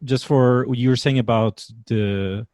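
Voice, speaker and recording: A man's voice: medium pitch, steady tone, a little mellow, dry recording, studio quality. A man hosting a podcast interview, friendly and joking. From Portugal. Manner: friendly, joking, quick talker. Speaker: podcast host. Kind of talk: casual interview.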